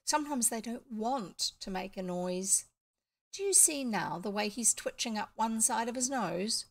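A woman performs a proud, clever female parrot from a storybook. The bird is bossy and emphatic, slightly high in pitch, with plenty of creak. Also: lively intonation, a little slow, full character voice. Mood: instructive. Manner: insistent, emphatic, instructive.

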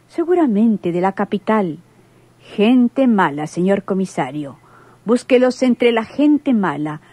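A woman's voice: medium pitch, dark tone, breathy edge, dry recording. An actress playing an earnest townswoman giving her testimony in a radionovela. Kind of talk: radionovela acting.

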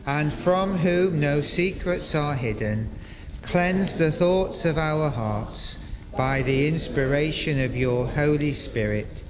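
An older man leading a church service, reading liturgy and prayers to a congregation in a measured, formal way, phrase by phrase. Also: on the high side. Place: inside a parish church, congregation present. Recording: echoey church hall with noisy ambience.

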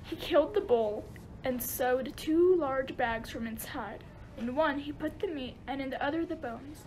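A woman's voice: high voice, measured pace, darkish tone, a little hiss or hum, rough recording. A woman narrating a myth as scripted storytelling for an amateur radio play.

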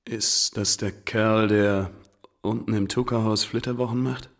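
Low-voiced, curt small-town deputy. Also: male voice, fairly even intonation, measured pace, gravelly. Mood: gruff, skeptical. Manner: curt, blunt, questioning. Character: gruff small-town deputy sheriff, unhurried, skeptical. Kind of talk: German radio crime drama acting.

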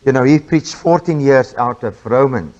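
A man's voice: mid-range voice, moderate pace, darkish tone, highs cut off. A man with an Afrikaans accent giving an informal sermon, chatty and full of fillers and restarts. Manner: chatty, self-interrupting with frequent fillers. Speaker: preacher.

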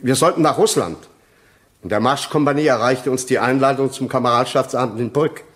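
An actor playing an earnest former soldier testifying in a radio crime drama, sober, deliberate and respectful. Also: male voice, mid-range voice, fairly bright tone, natural voice. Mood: earnest, explaining.

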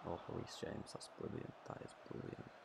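A man with a croaky voice giving casual, excitable commentary on his own football video game match. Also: moderate pace, very noisy. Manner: excitable, swears and laughs at own play. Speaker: gaming channel host.

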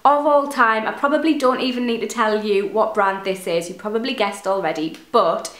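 A woman's voice: mid-range voice, normal speed, a bit bright, echoey room. A young woman in her twenties, a beauty vlogger chatting to camera in her bedroom, sounding a bit nasal from a cold and gushing about products. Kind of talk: casual product review to camera. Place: bedroom, indoors. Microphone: camera in front at close range.

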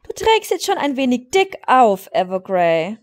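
Woman reading cheeky young rider girl, animated. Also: fairly high pitch, unhurried. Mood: teasing. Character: playful, cheeky young female horse riders in a fantasy game.

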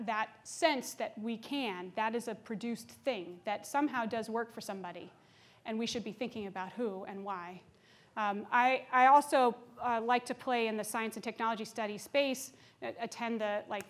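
A woman with a slightly high voice answers questions from a lecture hall stage. She is thoughtful and restarts often, trailing into asides before returning to her point. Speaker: keynote speaker answering questions.

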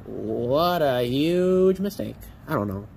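A teenage boy casually commenting on short videos outdoors, excitable and exclaiming, with stumbling restarts. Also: mid-range voice, slow, creaky, slightly rough. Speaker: reaction video host. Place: outdoors on a patio by a pool. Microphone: phone camera pointed at a laptop.

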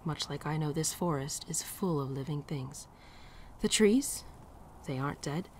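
A woman playing a gentle, teasing winter spirit in a girlfriend ASMR roleplay, speaking softly and tenderly, often dropping to a near-whisper. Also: low voice, unhurried, a little hiss or hum. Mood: gentle, reflective. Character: gentle, teasing female winter spirit, affectionate old friend. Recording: birdsong and outdoor ambience behind.

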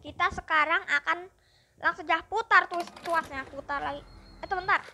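High, bright chatty gaming commentator. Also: female voice, normal speed, slight background noise. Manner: chatty, upbeat, keeps addressing viewers. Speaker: gaming YouTuber. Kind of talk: casual let's-play commentary.

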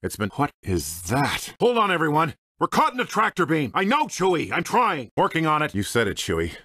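A man's voice: fairly high pitch, wildly swooping pitch, brisk, bright, forceful tone, husky, no echo, exaggerated voice. An American man playing a cocky, wisecracking space smuggler and pilot in a video game, with a dry, sardonic, swaggering manner. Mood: wry, focused. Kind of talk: video game character voice clips. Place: dry studio recording.

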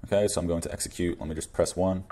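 A man's voice: sits low, steady tone, racing, noisy background. A young man presenting a coding tutorial in a casual screencast style. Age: young adult.

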